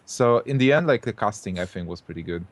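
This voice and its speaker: A man co-hosting a casual podcast, speaking hesitantly with many pauses and restarts. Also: lowish voice, lively intonation.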